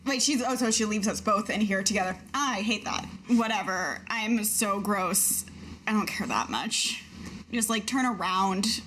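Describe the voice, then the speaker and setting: A woman with a medium-pitched voice plays a tabletop role-playing game in a casual, improvised way. There is faint noise in the recording. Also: measured pace, a bit bright.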